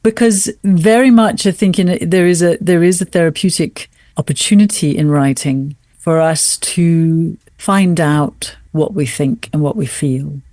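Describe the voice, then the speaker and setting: Female interview guest, soft-edged mellow tone, dry recording, thoughtful, articulate, measured phrasing. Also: lowish voice, dry recording. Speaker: writer and podcast guest. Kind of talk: thoughtful explanatory interview conversation.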